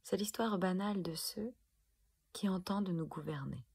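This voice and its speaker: A woman reciting spoken-word poetry with deliberate, dramatic phrasing and a breathy, husky voice. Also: on the low side, darkish tone. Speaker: poet performing own protest poem.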